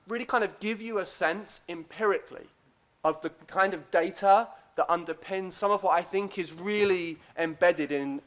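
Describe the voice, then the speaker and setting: Higher-voiced man giving a lecture. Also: a bit bright. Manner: self-deprecating, hesitant, deliberate. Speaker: academic guest speaker. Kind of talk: conference lecture.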